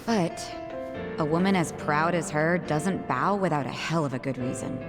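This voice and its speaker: A tough, frank woman , played by an actress in a game dub. Her lowish voice is brusque and plain-spoken, with music clearly behind it. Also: moderate pace, natural voice. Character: blunt, tough, frank woman with guarded sympathy. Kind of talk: English video game dub acting.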